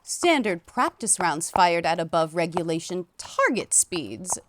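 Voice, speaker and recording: A woman voicing a fussy, officious, easily scandalized female inspector in an animated comic dub. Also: lowish voice, measured pace, a little hiss or hum. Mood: officious, condescending. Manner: officious, pedantic, flustered outbursts. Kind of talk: animated fandub voice acting.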